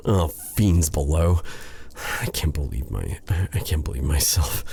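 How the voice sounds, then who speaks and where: A man playing a lovestruck, self-doubting exiled dark sorcerer in an intimate fantasy audio roleplay, breathy, halting and formal in his phrasing. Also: deep voice, full character voice. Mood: flustered, embarrassed. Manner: flustered, stammering, halting, formal old-fashioned phrasing.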